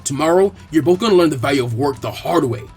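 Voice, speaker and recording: Man reading as a gruff, stern ninja commander. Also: fairly high pitch, expressive, quick pace, darkish tone, faint music behind. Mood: stern anger.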